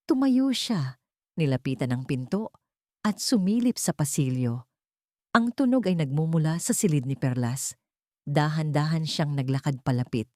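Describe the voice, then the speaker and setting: A woman's voice: sits low, animated, normal speed, dark tone, dry recording, studio quality. A woman narrating a scripted dramatic story as an audiobook storyteller.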